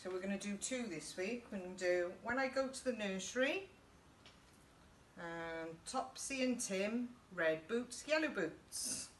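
A woman's voice: medium pitch, lively intonation, unhurried. A middle-aged British woman reading a picture book aloud to young children, often putting questions to them. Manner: child-directed, asking listeners questions. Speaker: story time host reading to children. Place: small indoor youth group playroom. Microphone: camera a couple of metres away. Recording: echoey room, distant mic, faint background noise.

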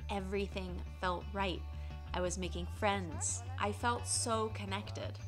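A woman's voice: mid-range voice, fairly even intonation. American woman, thirties, earnest and reflective, scripted vlog narration, music underneath. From United States (Colorado). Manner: earnest, reflective, measured delivery. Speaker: travel vlogger. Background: soft music bed.

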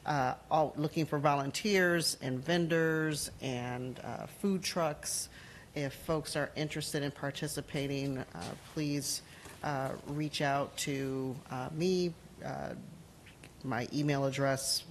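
A woman in her forties, a city council member, giving announcements at a meeting, halting with many ums and uhs. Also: rumbling low, slight background noise. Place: council chamber. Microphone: desk gooseneck mic.

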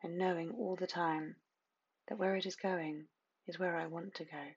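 Woman, guided meditation narration, hushed and soothing, flat intonation, husky breathy tone, long pauses, muffled lo-fi recording. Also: lowish voice. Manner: slow, soothing, hushed delivery with repeated phrases and long pauses.

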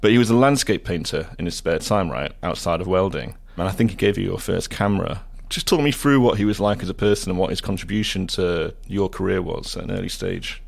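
A man's voice: low-pitched, a little fast, gravelly, hardly any pauses. A man interviewing a guest, asking long, carefully detailed questions in an earnest way. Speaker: interviewer, associate editor.

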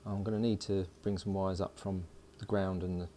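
A man casually talking through hands-on electronics work, unhurried and thinking aloud. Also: sits low, flat delivery, warm tone, a little hiss or hum. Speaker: host of an electronics build video. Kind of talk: casual hands-on tutorial narration. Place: indoors at a workbench.

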